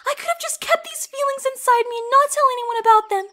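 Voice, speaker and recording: Very high-pitched, emotional pony girl. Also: quick pace, bright, forceful tone. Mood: hurt, angry. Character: gentle young female cartoon pony, wounded and lovesick. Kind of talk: fan audio drama acting.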